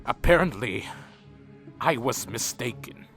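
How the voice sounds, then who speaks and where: A man's voice: medium pitch, expressive, a little slow, a bit bright, soft background music. A man playing a proud, troubled vampire leader who narrates his own story in a fantasy audio drama, earnest and dramatic. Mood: indignant. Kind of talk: first-person scripted narration and audio drama acting.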